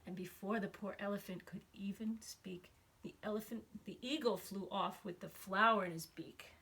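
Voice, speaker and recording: A woman's voice: medium pitch, fairly even intonation, normal speed, a bit bright, husky, rough recording. A woman narrating a rhyming children's storybook expressively. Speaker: storybook reader, school staff member.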